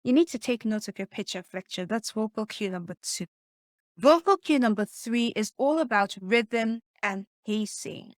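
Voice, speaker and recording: A soft-voiced woman sits somewhere on the higher side of the usual female range as she coaches on speaking skills. Her delivery is emphatic and stresses key words, and she keeps turning to the listener with questions. The sound is dry and close, with almost no room around it. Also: expressive, normal speed, no echo. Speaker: communication coach. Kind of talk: teaching presentation tips to camera.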